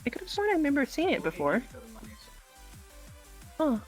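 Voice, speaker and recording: A woman talks casually through a role-play game with a lively, moving pitch. She is hesitant and apologetic, often giggly. Music plays faintly behind her. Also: moderate pace.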